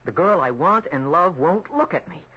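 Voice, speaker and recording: A man's voice: a little high, lively intonation, moderate pace, breathy edge. Earnest, troubled young man. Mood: agitated. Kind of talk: old-time radio drama acting and first-person narration. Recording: dull old radio recording with music.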